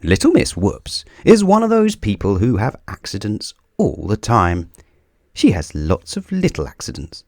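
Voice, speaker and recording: A man reading a children's storybook aloud in a theatrical, deliberate way, drawing out key words for emphasis. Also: lowish voice, dry recording, studio quality. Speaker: children's book reader. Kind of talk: scripted storybook read-aloud.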